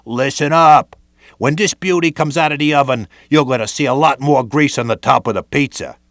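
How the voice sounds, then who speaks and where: A man's voice: medium pitch, normal speed, a bit bright, dry recording, clean studio sound, faint music behind. A man narrating a scripted training video in a streetwise tough-guy patter.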